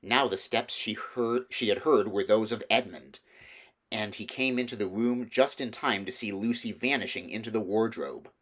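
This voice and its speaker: A man's voice: on the low side, moderate pace, fairly bright tone. A man reading a children's novel aloud as scripted storybook narration. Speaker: audiobook reader.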